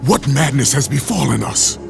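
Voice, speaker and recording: An actor playing a furious, defiant Spartan warrior who speaks gruffly and shouts. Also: male voice, mid-range voice, expressive, normal speed, music in the background. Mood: angry. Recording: game score under the voice.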